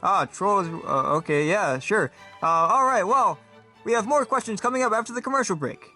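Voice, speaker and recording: A man playing a peppy, bombastic debate moderator in a comedy sketch, with an animated game-show announcer delivery. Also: high-pitched, normal speed, faint music behind, voice pushed up. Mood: upbeat, showy. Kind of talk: game-show style hosting.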